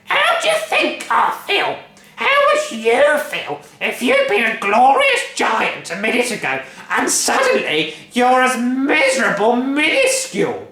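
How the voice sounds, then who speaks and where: A reader voicing a furious shrunken old grandmother who yells in a shrill, very high voice, recorded in a roomy, echoing space. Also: huge pitch swings, measured pace, full character voice. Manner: yelling, shrill. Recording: roomy echo.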